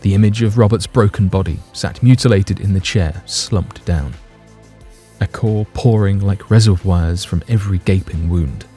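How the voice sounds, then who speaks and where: A man's voice: low voice, normal speed, dark tone, soft background music. A man narrating a scripted audio drama in a grave, theatrical storytelling manner.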